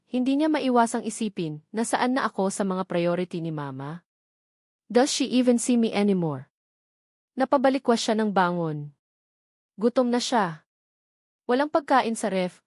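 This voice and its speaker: A woman's voice: medium pitch, a little mellow, no echo, studio quality. A woman with a Filipino accent narrating a scripted dramatic story in an emotive storytelling manner.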